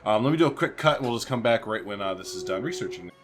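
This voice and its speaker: A man's voice: fairly low pitch, expressive, rapid-fire. A man doing casual let's-play commentary, chatty and informal, thinking aloud as he plays. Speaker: gaming video host. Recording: faint background noise.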